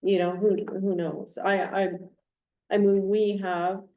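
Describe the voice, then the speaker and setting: A woman's voice: medium pitch, little pitch movement, slow pace, mellow, highs cut off. A woman chairing a council meeting as mayor, speaking plainly and explaining with everyday examples. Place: council chamber. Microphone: distant room mic. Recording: echoey council chamber from far off.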